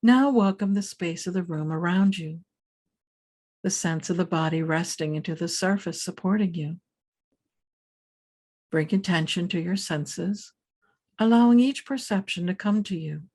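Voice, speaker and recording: A woman meditation teacher leading a scripted guided meditation in a calm, soothing, measured manner with pauses between phrases. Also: on the low side.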